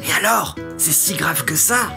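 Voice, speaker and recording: An actor as a creaky, touchy captain. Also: medium pitch, expressive, measured pace, music in the background. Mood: puzzled. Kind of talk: audio comedy serial acting.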